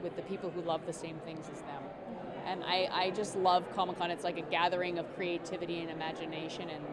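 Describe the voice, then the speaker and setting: A woman's voice: on the low side, flat delivery, fast talker, heavy background noise. A woman giving casual answers in an interview at a fan convention. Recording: crowded convention hall chatter.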